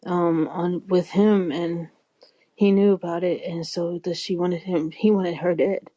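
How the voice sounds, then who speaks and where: A woman's voice: on the low side, steady tone, unhurried, dark tone. A woman chatting casually as a podcast co-host, easygoing and colloquial in the way she talks. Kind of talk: casual chat.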